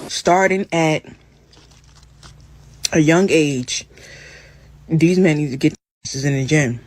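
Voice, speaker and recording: A woman's voice: deep voice, slow pace, a little mellow, no echo. Blunt profane woman lecturing. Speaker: clip speaker. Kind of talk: ranting monologue.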